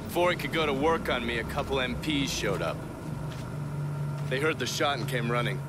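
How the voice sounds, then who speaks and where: A man's voice: mid-range voice, normal speed, very noisy, close to an everyday voice. A man playing a sardonic, foul-mouthed American intelligence agent in a video game cutscene. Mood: wry, matter-of-fact. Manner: sardonic, taunting, profane.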